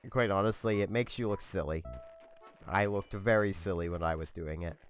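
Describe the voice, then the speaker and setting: A young man hosting a let's play, talking in a monotone, low-key, rambling way with a slightly scratchy voice. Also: normal speed, soft background music. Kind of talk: casual gameplay commentary.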